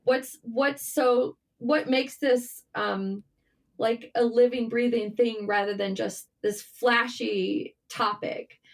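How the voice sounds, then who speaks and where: Higher-voiced woman, casual and candid. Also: steady tone, takes it slowly, vocal fry. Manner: informal, candid, mildly sweary. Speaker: interview guest, writer and journalist. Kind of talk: casual interview conversation, storytelling.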